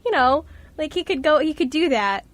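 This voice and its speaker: Very high-voiced young woman, enthusiastic. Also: expressive, moderate pace. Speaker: co-host and reader on an amateur radio drama show. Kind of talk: casual group chat.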